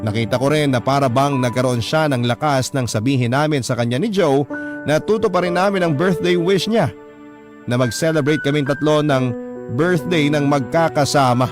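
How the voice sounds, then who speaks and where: A distraught parent narrating a radio drama, voiced by a man in a fairly high pitch. The delivery is earnest and sorrowful first-person storytelling, with music clearly playing underneath. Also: moderate pace, close to an everyday voice. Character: distraught parent recounting a child's illness to radio host.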